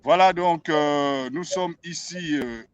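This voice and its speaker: A man hosting an interview at a radio station, talking hesitantly with many drawn-out fillers. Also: fairly high pitch, smooth. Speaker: interviewer host. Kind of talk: broadcast interview introduction. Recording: dull lo-fi sound.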